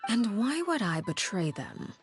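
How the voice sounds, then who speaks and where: A woman playing a cold, poised, secretive leader in a video game, speaking in a measured, guarded, formal way. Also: medium pitch, very animated, faint music behind. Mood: cool, evasive. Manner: measured, guarded, faintly menacing formal speech. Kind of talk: video game story dialogue.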